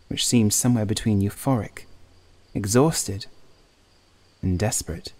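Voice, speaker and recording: A man reading a scripted first-person horror story as an audiobook narrator, in a measured, literary way. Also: fairly low pitch, darkish tone.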